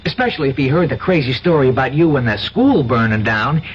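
An actor playing an earnest, hesitant country youngster in a radio drama, stammering and folksy. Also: male voice, mid-range voice, expressive, fast, close to an everyday voice. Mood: earnest, worried. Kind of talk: 1970s radio drama acting. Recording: dull old radio recording, faint noise.

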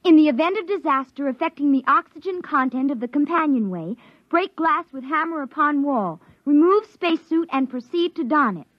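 A woman's voice: high voice, expressive, moderate pace, no room sound. A woman playing a prim, bossy little girl with a girlish manner in a 1950s radio drama. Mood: curious, prim. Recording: dull vintage 1950s radio recording.

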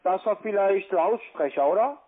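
A man with a foreign accent, not a native German speaker, giving pushy phone instructions as a call-centre agent in halting, broken phrasing. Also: high-pitched, animated. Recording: muffled lo-fi phone line.

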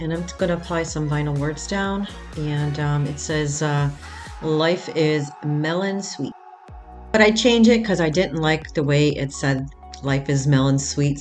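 A woman's voice: low voice, lively intonation, normal speed, soft background music. A woman hosting a craft channel, talking casually step by step through a project in a voice-over, with the odd filler. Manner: plain step-by-step explaining with fillers.

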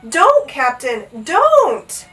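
A woman's voice: high voice, lively intonation, normal speed, pushed and bright, recorded from a distance, voice pushed up. A woman voicing an earnest, alarmed young boy in a storybook, exclaiming with breathless urgency. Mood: pleading, alarmed. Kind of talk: animated storybook character voice.

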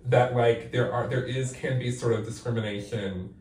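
A man in his forties talks through his experiences in a candid, thoughtful interview, filling his sentences with "like." His voice is mid-pitched and comes from a distance, with a hard echo off the tiles of a bathroom. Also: fairly even intonation, moderate pace, a little mellow, a little hiss or hum. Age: 40s. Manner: thoughtful, reflective, many "like" fillers. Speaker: guest playwright. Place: bathroom, sitting in a bathtub. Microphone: far camera. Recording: echoey bathroom, mic at a distance.

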